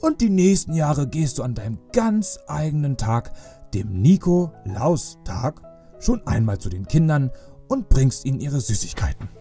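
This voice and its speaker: A jolly old Father Christmas acted by a man speaks with fatherly kindness in a children's audio play. His voice is warm and mellow and swings widely in pitch, with soft music underneath. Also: big pitch swings, unhurried. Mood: gently solemn.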